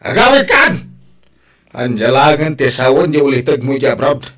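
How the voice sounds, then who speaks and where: A man's voice: medium pitch, big pitch swings, moderate pace, fairly bright tone. A man playing a stern father in an audio drama, speaking in an emphatic, reproachful way. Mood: angry, demanding. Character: stern, scolding father of a grown daughter.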